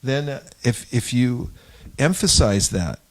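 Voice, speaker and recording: A man speaking as a religious teacher in an informal group discussion, explaining his thoughts in a halting, reflective way. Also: mid-range voice, lively intonation. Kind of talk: informal spiritual discourse.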